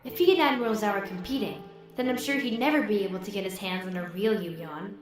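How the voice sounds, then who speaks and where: A woman's voice: medium pitch, fast talker, lightly acted. A woman playing an anxious, earnest fourteen-year-old military trainee thinking to herself in an audio drama. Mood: worried realization. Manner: fretful, earnest inner monologue. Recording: heavy echo on voice, music behind.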